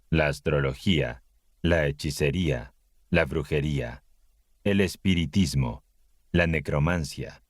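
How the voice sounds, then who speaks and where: A man with an extremely low voice narrates scripture like an audiobook. He reads slowly and solemnly, pausing deliberately between phrases. The sound is close and dry. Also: no echo.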